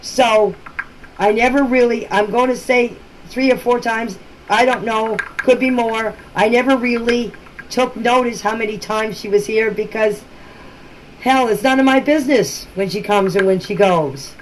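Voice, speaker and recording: A woman's voice: on the high side, unhurried. Plain-spoken woman in a noisy voice message. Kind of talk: informal explanatory talk in voice messages. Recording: noisy amateur voice-message recording.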